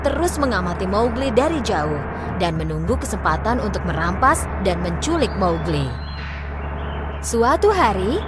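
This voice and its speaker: A woman tells a children's story in a fairly high voice, with very animated intonation. Her phrasing is clear and expressive, and music plays behind her. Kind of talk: scripted children's story narration.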